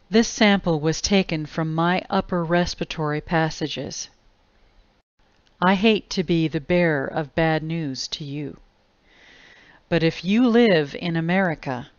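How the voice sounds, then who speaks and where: Slow, smooth-voiced woman narrating gravely. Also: deep voice, no echo. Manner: deliberate, grave. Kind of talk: scripted explanatory video narration. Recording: dull lo-fi close dry voice-over.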